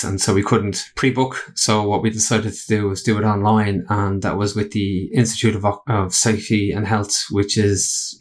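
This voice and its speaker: Low-voiced Irish man in conversation. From Ireland. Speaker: podcast guest, company chief executive. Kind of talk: conversational interview answers.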